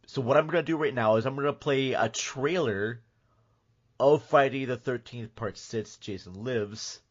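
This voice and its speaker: A man's voice: mid-range voice, normal speed. A man hosting a podcast in casual, joking, sometimes mock-serious chat. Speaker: podcast host. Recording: dull, band-limited podcast audio.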